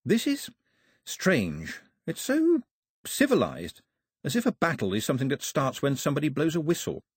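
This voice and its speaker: A man's voice: a little high, wildly swooping pitch, normal speed, slightly rough, dry recording, clean studio sound, pitched above the performer's own voice, exaggerated voice. A man voicing a plucky, proper young girl, prim and forthright, in an audiobook story. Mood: wry, uneasy. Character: plucky, proper young English girl, sharp-tongued and questioning.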